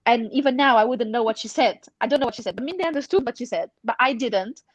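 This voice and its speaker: A young woman, not a native English speaker, telling animated anecdotes casually on a panel podcast. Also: a little high, fast talker, no room sound, highs cut off. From outside the UK. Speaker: podcast panel member, charity fundraiser.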